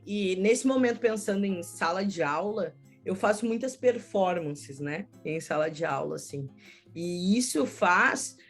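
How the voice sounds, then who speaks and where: Interview guest: woman, southern Brazilian accent, mid-pitched, conversational teacher explaining her work, music in the mix. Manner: explanatory, frequent "né" tags. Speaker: schoolteacher, storyteller and researcher, interview guest.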